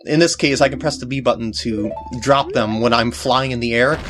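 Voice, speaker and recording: A woman's voice: a bass voice, normal speed. A woman giving gameplay commentary as she plays, with joking, sarcastic asides. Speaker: video game walkthrough commentator. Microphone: compact camera microphone. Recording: game music behind voice.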